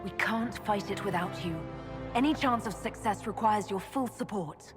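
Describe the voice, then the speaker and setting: A woman's voice: mid-range voice, moderate pace, in a large hall, music in the background, close to an everyday voice. A woman playing a diplomatic, determined elf mage heroine in a fantasy game, composed and earnest. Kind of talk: dramatic fantasy game dialogue.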